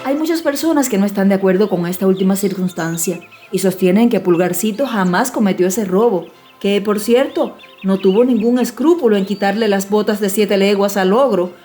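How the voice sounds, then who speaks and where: A woman's voice: medium pitch, animated, normal speed. A woman reading a classic fairy tale as an audiobook narrator, in scripted storytelling style. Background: soft music.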